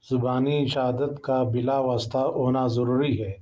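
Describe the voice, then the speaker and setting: A man with a Pakistani accent reading legal text aloud as a lecturer, in a formal, deliberate manner with occasional stumbles. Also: medium pitch, level tone, dark tone. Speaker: law lecturer.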